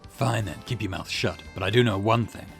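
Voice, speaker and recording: A man voicing a gruff, hard-boiled police detective in a visual novel, blunt and confrontational. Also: lowish voice, fairly quick, soft background music. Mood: blunt, accusing.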